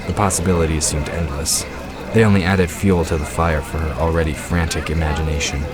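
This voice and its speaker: A man giving scripted narration for a fantasy audio drama. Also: very deep voice.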